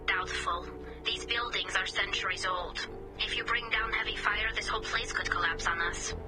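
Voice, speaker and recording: A woman's voice: medium pitch, fairly even intonation, moderate pace, rough recording. A woman playing an earnest, wistful young female alien engineer in a video game, heard through a helmet radio filter. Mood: earnest, focused. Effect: helmet filter, radio comms. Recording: game audio with music behind, filtered voice. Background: music.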